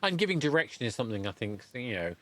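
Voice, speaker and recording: A man's voice: medium pitch, very animated, a bit bright, a little hiss or hum. A middle-aged man hosting a podcast interview in a conversational, rambling way, often breaking off and correcting himself. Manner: halting, rambling, self-correcting. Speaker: podcast host interviewing a guest.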